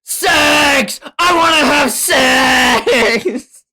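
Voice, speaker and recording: A man voicing a brash, crude, excitable teenage boy with a chainsaw head from a manga. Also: very high voice, very slow, voice pushed up, exaggerated voice. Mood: shouting, horny.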